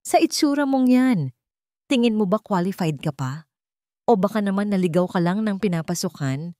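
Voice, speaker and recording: A woman's voice: mid-range voice, expressive, normal speed, dark tone, dry recording, clean studio sound, natural voice. A woman voicing a cold, rich young male boss in a radio-style story, mocking and threatening. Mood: scornful mockery.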